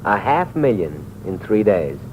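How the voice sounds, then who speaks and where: A man's voice: low-pitched, very animated, unhurried. An actor playing a mocking, wealthy man with a weak heart who needles his companion with dry wit. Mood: taunting. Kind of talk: 1950s radio drama acting. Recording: dull, noisy old radio recording.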